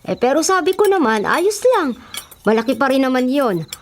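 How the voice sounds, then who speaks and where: An actress playing a kindly, forgiving middle-aged mother with a Filipino accent in a radio drama, gentle and placating. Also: high-pitched, very animated, normal speed, a little hiss or hum. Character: kindly, forgiving, self-sacrificing mother of grown sons. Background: faint music, clinking dishes.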